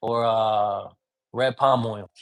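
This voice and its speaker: A man speaking as a priest, teaching divination in a relaxed conversational way and often checking that the listener follows. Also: lowish voice, slow pace. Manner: informal, often checks in with "you get me?"; mixes in Spanish words. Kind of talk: casual teaching talk.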